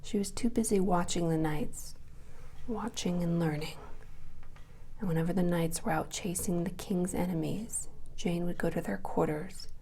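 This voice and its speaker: A woman reading a children's story aloud as ASMR in a soft, breathy whisper. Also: on the low side, moderate pace, slight background noise.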